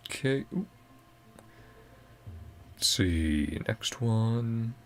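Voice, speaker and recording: A man giving quiet, casual reaction commentary as the host of a gaming video, in a low voice. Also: expressive, extremely slow.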